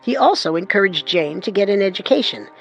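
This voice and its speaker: A man narrating a true-crime radio drama in a measured, dramatic scripted style. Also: high voice, lively intonation, vocal fry, a bit bright, highs cut off, faint music behind.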